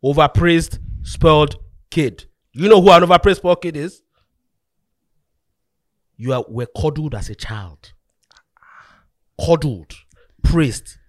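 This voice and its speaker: A man's voice: a little high, takes it slowly, long pauses, no room sound. A man hosting a podcast debate, talking emphatically and repeating himself to drive points home. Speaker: podcast host.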